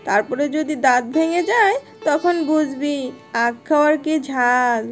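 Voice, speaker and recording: A woman's voice: high voice, unhurried, soft background music. A woman voicing a loving, worried mother fox from a poor village in a fairy-tale cartoon. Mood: affectionate.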